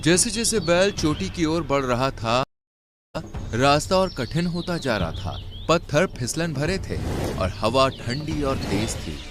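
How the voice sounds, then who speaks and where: A man's voice: on the high side, big pitch swings, slow pace, background noise. A man narrating a scripted children's animal story.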